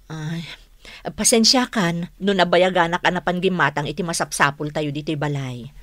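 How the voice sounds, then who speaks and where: A woman's voice: lowish voice, normal speed, fairly bright tone, no echo, clean studio sound, close to an everyday voice. A woman in an Ilocano radio drama playing a dutiful, apologetic household cook. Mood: apologetic, concerned.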